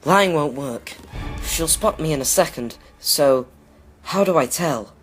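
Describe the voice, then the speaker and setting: A man's voice: on the high side, expressive, measured pace, a bit bright, faint music behind. A man playing a nervous, evasive teenage echidna son in a comic dub. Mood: embarrassed, anxious.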